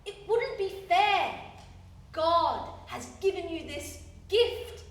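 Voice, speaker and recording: An actress playing an eager, determined young garage owner in a stage radio play, speaking earnestly. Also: female voice, very high voice, animated, takes it slowly, full character voice. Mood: tender, encouraging. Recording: echoey theatre hall, distant mic, music behind.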